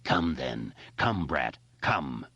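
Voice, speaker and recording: A man voicing an ancient, evil monster in an audiobook, speaking in a slow, looming and contemptuous way. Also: a bass voice, sing-song, pushed and bright, no room sound, highs cut off, voice pushed down, heavily acted. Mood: menacing. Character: ancient evil male cosmic monster, cold and menacing. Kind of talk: audiobook character voice.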